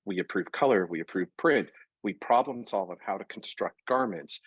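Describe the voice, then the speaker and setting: A man being interviewed about his work in fashion, talking in a lively, conversational way and working through examples with frequent restarts. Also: on the low side, moderate pace, husky, dry recording, low-quality recording. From Puerto Rico. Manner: animated, talks through examples with self-corrections. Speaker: interview guest, fashion product executive.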